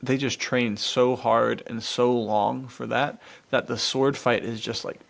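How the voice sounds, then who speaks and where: A male podcast co-host with a noticeably creaky voice at an ordinary male pitch. He chats casually and enthusiastically, leaning on slang and calling his listener "dude.". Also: steady tone, measured pace.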